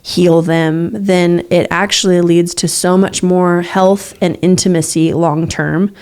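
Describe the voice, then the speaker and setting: Young woman cohosting a relationship podcast, earnest and encouraging, kinda low voice. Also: steady tone, slow, a little mellow, clear, resonant tone. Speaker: podcast co-host. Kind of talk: casual podcast chat about relationships.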